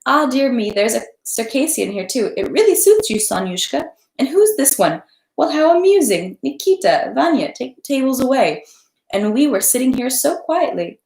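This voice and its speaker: Woman putting on a merry older lady, raised pitch, exclamatory, fussy, bustling, storybook character, roomy echo. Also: big pitch swings, moderate pace, gravelly, a little mellow, exaggerated voice. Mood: delighted, amused. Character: merry, fussy older widowed hostess, energetic and kindly.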